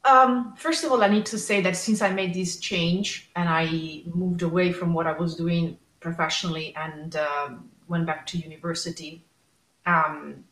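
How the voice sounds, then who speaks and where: A woman's voice: on the low side, a bit bright. Woman, thirties, speech therapist, stammers, open and emotional, echoey room. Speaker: guest, speech and language therapist. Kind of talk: podcast conversation.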